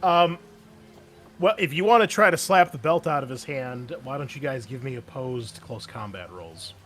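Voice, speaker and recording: A man's voice: mid-range voice, lively intonation, moderate pace, a bit bright, faint music behind. A man running a tabletop roleplaying game as game master, amused and easygoing, explaining rules with many fillers.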